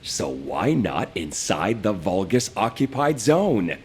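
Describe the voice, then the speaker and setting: A man's voice: on the low side, very animated, takes it slowly, slight background noise. An actor playing a scheming, wisecracking young male fighter in a video game cutscene. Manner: joking, scheming.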